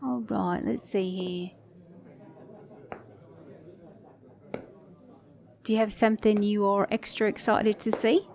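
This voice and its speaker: A woman acts out a flirty female car mechanic in a romantic ASMR roleplay. Her accent blends Australian and British, and her voice sits at a middle pitch. She teases playfully, with a sassy, affectionate confidence. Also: fairly even intonation, moderate pace, creaky, natural voice. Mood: cheerful, excited. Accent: Australian-British mix. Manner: flirty, teasing, playful, with soft chuckles.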